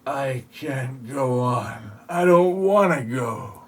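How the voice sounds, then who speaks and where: A man plays a worn-out traveller who stubbornly refuses to go on in a comic audio drama. The voice is husky and breathy, dragging very slowly through each whining line. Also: mid-range voice, expressive. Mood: weary refusal. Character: exhausted, whining, stubborn traveller giving up in the desert.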